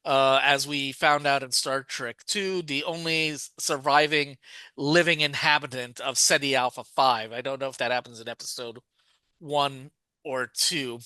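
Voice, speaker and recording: A man's voice: medium pitch, steady tone, unhurried, pushed and bright, clean tone, dry recording. A man co-hosting a podcast, chatting casually and rambling with lots of fillers and self-corrections. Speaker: podcast co-host. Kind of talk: casual chat about a TV show.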